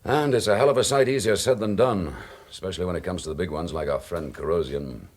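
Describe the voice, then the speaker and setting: An actor playing a dry, dogged chief inspector in a radio drama, with a British accent and a brisk, wry, authoritative manner. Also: male voice, sits low, a little mellow.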